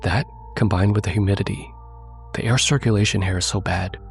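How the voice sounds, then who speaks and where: A man hosting and narrating a horror story in a measured, suspenseful way, sounding congested from a cold. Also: fairly low pitch, fairly even intonation, gravelly, soft background music. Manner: measured, suspenseful delivery, addressing viewers directly. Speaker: narrator and host.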